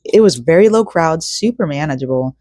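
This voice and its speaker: A young woman vlogs from home while she crochets, tired and rambling, with lots of fillers and self-corrections. Her tone is mellow and soft, and the recording is close and dry with no room sound. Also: low-pitched, lively intonation, brisk, no room sound. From South Korea, raised in Florida. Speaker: vlogger. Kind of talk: casual personal vlog chat while crocheting.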